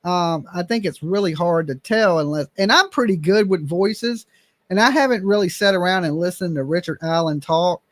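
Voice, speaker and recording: A man's voice: high voice, moderate pace, no echo. A man hosting a casual chat, blunt and opinionated, swearing now and then.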